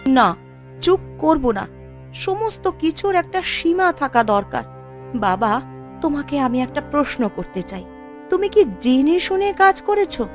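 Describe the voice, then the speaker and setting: A woman's voice: high-pitched, sing-song, measured pace, soft background music. A woman voicing a proud, outspoken poor young wife in a moral-tale cartoon, with emphatic, dramatic delivery. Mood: indignant.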